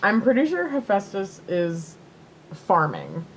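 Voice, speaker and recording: A woman's voice: medium pitch, wildly swooping pitch, slow pace, slight background noise, poor audio. A woman co-hosting a podcast in casual, unscripted chat, with a playful, hedging manner. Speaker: podcast co-host.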